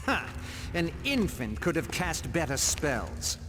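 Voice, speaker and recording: A sneering game villain who mocks his allies theatrically. The voice is raised and very lively, with long reverb and a noisy backdrop. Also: a little high, takes it slowly. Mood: scornful. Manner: mocking, theatrical. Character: sneering, scornful male villain schemer. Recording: echoing hall, noisy.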